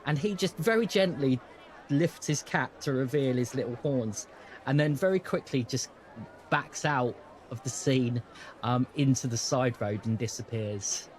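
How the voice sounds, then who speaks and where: A man's voice: mid-range voice, measured pace, some chatter behind, a little hiss or hum. A man with a British accent narrating a tabletop role-playing game as game master.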